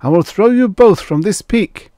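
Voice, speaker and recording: A man's voice: medium pitch, animated, unhurried, a little mellow, dry recording, clean studio sound, voice pushed up, lightly acted. A man voicing a bossy, visionary primitive man in a storybook, commanding and declamatory. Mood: alarmed, threatening.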